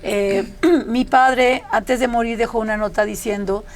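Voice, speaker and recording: A woman's voice: fairly high pitch, fairly even intonation, measured pace. A middle-aged woman, a chef telling her story as a guest in a chatty, anecdotal way. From Mexico. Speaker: chef and restaurant founder, guest. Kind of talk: casual interview chat.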